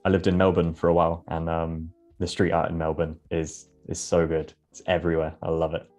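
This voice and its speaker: A British man hosts a live creative interview in a low, deep voice. He talks in a chatty, curious way, stringing out long rambling questions and remarks. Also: completely flat delivery, a little fast, a little mellow, soft background music. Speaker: host. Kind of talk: casual interview chat.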